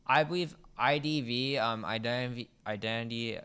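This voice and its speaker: A young woman co-hosting a casual gaming podcast, dry and hesitant, dropping quick quips. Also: very deep voice, fairly bright tone, clean tone. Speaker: podcast co-host.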